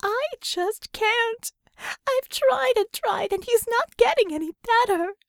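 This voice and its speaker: A woman's voice: very high voice, expressive, takes it slowly, vocal fry, no room sound, clean studio sound, pitched above the performer's own voice, exaggerated voice. A woman voicing a distraught young female healer in an audiobook, weeping and pleading at breaking point. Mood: tearful despair. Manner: wailing, pleading. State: crying.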